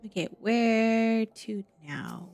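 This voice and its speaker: A woman's voice: on the high side, animated, very slow, gravelly, darkish tone. A woman giving casual live game commentary, talking to herself as she thinks aloud. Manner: talks to self, thinking aloud. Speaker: game streamer. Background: music.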